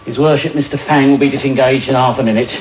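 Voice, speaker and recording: An actor playing a brisk, matter-of-fact English police sergeant in a period radio play, offhand and officious. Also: male voice, mid-range voice, fairly even intonation, lo-fi sound, lightly acted. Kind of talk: period radio drama acting. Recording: echoey, hissy medium-wave radio taping.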